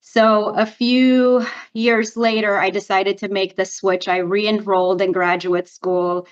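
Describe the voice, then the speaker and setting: A woman's voice: medium pitch, flat delivery, slow, clear, resonant tone. Upbeat woman from Romania, slightly muffled. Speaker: guest, PR agency founder. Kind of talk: interview answers. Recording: slightly dull lo-fi audio.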